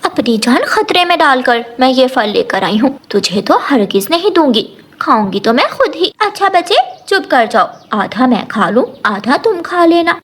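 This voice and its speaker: A sly and selfish lady crow from a children's cartoon, voiced by a woman. She has a high voice and a scolding, bossy way of talking. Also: big pitch swings, normal speed. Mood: sly, dismissive. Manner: scolding, sharp-tongued.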